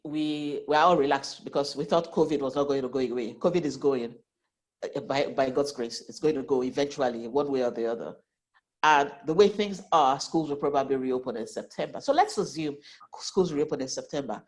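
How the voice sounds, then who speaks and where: A woman's voice: rumbling low, a little fast. A woman in her forties, a financial planner with a heavy cold and a nasal voice, teaching an online class in an earnest way that often restarts words. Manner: earnest, repeats and restarts words while explaining. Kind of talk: online class, giving tips.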